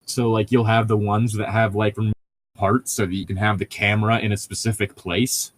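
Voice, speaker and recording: A man's voice: fairly low pitch, normal speed, no echo. Rambling man in casual, sweary chat. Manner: rambling, profane, excitable storytelling with frequent laughter.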